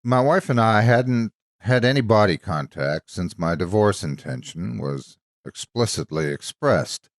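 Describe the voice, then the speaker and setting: A man narrating a scripted dramatic story in a measured, expressive storytelling manner. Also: lowish voice, no echo, clean studio sound.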